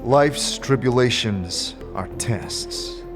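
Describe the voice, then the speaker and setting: A man voicing a terse, solemn wandering adventurer in a fantasy audio drama, speaking gravely and deliberately. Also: mid-range voice, lively intonation, over music.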